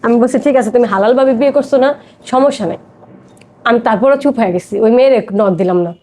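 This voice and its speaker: Female podcast guest, Bangladeshi accent, fairly high mellow voice, quick conversational storytelling. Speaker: podcast guest telling her life story. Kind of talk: personal storytelling in casual talk.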